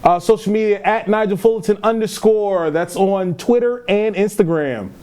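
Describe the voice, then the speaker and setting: A man's voice: high voice, moderate pace, dark tone, clean tone. A man co-hosting a podcast in casual, conversational talk. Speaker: podcast co-host. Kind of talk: casual chat.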